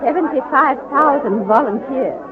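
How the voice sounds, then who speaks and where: A woman's voice: high voice, expressive, normal speed, a crowd in the background. An actress playing a frail but feisty sixty-year-old American woman reformer, wry and insistent, in 1940s radio drama style. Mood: indignant, insistent. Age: older. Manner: wry, insistent, crisply argumentative. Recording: muffled old radio recording, phone-like band.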